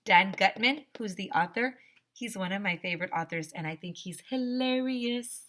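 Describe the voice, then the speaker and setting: A woman with a bright, clear-cut voice at a medium pitch. She speaks as a teacher to young children, reading and chatting expressively and addressing them directly. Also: moderate pace. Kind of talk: expressive children's story read-aloud and chat.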